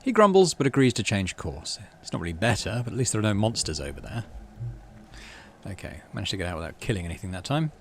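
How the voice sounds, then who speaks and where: Low-voiced man with lively, swinging intonation. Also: fast talker, slight background noise. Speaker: gaming channel host. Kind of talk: reading game text aloud with offhand commentary.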